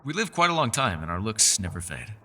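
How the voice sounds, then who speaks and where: Man voicing a courteous, bright-voiced, animated ancient elf. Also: fairly low pitch, a little fast. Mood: amused, chatty. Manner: formal, old-fashioned phrasing, apologetic, chatty. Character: centuries-old solitary male elf, courteous, gentle, socially awkward. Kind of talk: fantasy ASMR roleplay voice acting.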